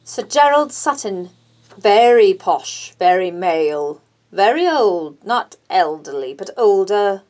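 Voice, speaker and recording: A woman voicing a very posh older British gentleman for an audiobook, from the back of the throat. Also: medium pitch. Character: very posh older male English baronet.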